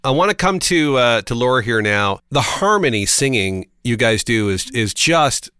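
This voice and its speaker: Clear-voiced halting male interviewer. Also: medium pitch, lively intonation, sharp tone, dry recording, studio quality. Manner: friendly, halting, restarts questions. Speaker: interview host. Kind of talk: casual interview.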